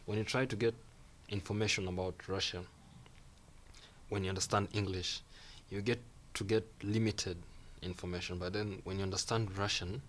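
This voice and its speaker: Kenyan student guy, deep voice, easygoing chat, lots of little quoted reactions. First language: Swahili. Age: young adult. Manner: relaxed, repeats phrases, acts out quoted reactions. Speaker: guest student. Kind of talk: casual conversational interview. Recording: faint background noise.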